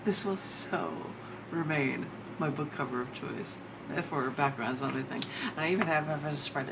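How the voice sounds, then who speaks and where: A woman poet giving a live reading of her work, chatting in between and pausing deliberately for drama. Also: fairly low pitch. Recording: clearly noisy recording.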